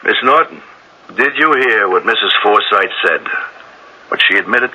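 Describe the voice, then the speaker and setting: A man's voice: lowish voice, animated, moderate pace, sharp tone. An actor playing a shrewd, relentless police sergeant in a radio mystery drama, insistent and theatrical as he presses a suspect. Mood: firm, official. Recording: noisy vintage radio recording.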